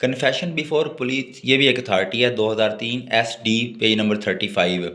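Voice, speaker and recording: Bright-voiced Pakistani man lecturing on law. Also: mid-range voice. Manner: switches between slow English reading and quick Urdu explanation. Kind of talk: lecture, reading legal notes aloud and explaining them.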